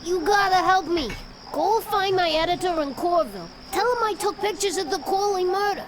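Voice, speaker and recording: An actress playing a frantic, pushy news reporter in a video game, urgent and dramatic. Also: female voice, very high-pitched, moderate pace, heavy background noise. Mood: frantic, pleading.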